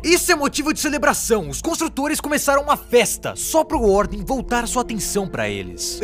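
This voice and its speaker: Male narrator, Brazilian accent, high-pitched and brisk, theatrical scripted storytelling for a video essay. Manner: theatrical, animated delivery.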